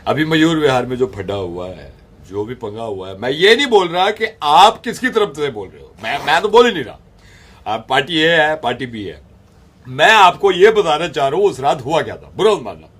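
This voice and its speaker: A man's voice: on the high side, very animated, normal speed, a bit bright, slightly rough, full character voice. A man playing a flustered, defensive busybody on a prank call, repeating himself as he pleads to be heard. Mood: hesitant. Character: pleading, evasive busybody explaining a neighbourhood brawl.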